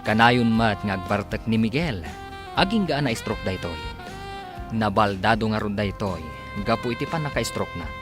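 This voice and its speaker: A man's voice: lowish voice, expressive, moderate pace. A man delivering scripted radio drama narration in a dramatic announcer manner. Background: music.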